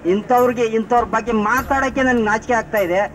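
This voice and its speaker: Indignant, very high-pitched man. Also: fairly even intonation, fast, music in the background, low-quality recording. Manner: indignant, emphatic. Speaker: member of the public. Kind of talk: impromptu political outburst.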